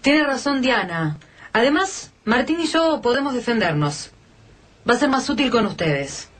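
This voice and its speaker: A woman's voice: fairly high pitch, sing-song, unhurried, husky, recorded from a distance, exaggerated voice. An actress playing a caring, anxious mother who stands her ground in a radio drama, with an Argentine accent. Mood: steady, reassuring.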